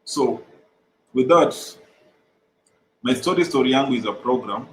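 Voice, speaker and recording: A young Kenyan man whose first language is Swahili, earnestly explaining his organization's programs over a video call, with frequent "uh" pauses. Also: medium pitch, lively intonation, roomy sound, a little hiss or hum, low-quality recording. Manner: earnest, many "uh" pauses, repeats phrases. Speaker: guest, mental health organization co-founder.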